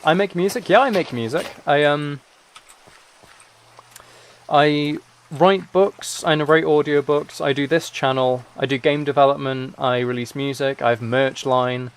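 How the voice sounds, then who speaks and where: A man's voice: a little high, steady tone, a little slow, clean tone. A tired-sounding man chatting casually on a gaming livestream, rambling and interrupting himself as he replies to viewers. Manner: rambling, self-interrupting, replying to chat comments. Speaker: livestreamer and musician.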